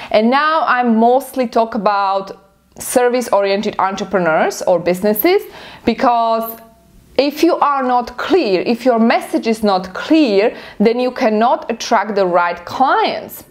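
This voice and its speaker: A woman's voice: fairly high pitch, a little mellow. A woman with a Slovak accent, a native Slovak speaker, giving emphatic instructional advice as a business coach hosting a video. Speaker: online business coach and attorney host. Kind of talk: instructional talk to camera.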